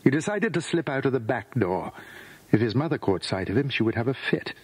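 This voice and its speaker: A man's voice: medium pitch, animated, a little fast, husky. A man narrating a scripted children's story.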